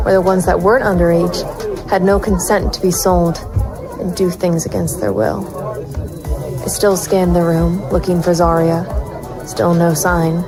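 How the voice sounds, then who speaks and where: Low voiced noir lady detective, weary n sardonic, clipped narration, music behind her. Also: creaky. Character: female private investigator narrating, hard-boiled, weary, disgusted, resolute. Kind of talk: noir audio drama narration.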